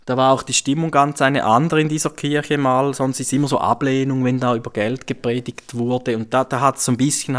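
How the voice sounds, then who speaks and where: A man's voice: medium pitch, a bit bright. A man talking conversationally as a money adviser, earnest and hesitant, repeating his phrases. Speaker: self-styled money adviser.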